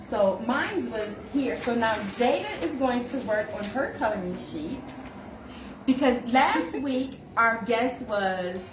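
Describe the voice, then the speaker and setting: A woman's voice: on the high side, lively intonation, slow pace. A woman hosting a live call-out show, chatting casually and laughing at herself. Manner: giggly, chatty, self-teasing. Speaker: host. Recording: echoey room, mic far off, noisy.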